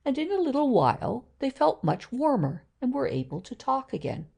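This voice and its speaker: A woman's voice: high voice, sing-song, normal speed, creaky, darkish tone, slightly rough. A woman narrating a children's story from a script as a storyteller.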